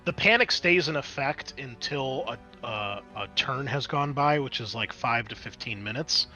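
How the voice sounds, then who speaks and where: A man acting as game master, narrating casually and explaining rules in a halting, explanatory way. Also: mid-range voice, lively intonation, sharp tone, soft background music.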